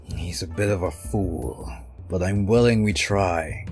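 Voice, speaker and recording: A man's voice: low voice, measured pace, darkish tone, soft background music. A man voicing a proud, resentful male ninja master who growls his scorn. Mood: scornful.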